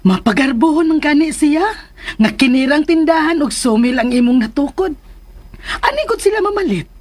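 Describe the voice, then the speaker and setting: A woman's voice: sits high, animated, moderate pace, darkish tone. A woman with a Filipino accent playing a supportive, probing mother of a grown son in a radio drama. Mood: proud, advising. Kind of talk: Philippine radio drama acting. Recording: faint noise and ambience.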